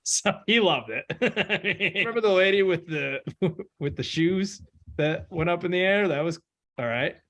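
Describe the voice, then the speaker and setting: A man's voice: sits high, expressive, unhurried, a bit bright, highs cut off. A man hosting a casual, sweary, irreverent podcast chat. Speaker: podcast host.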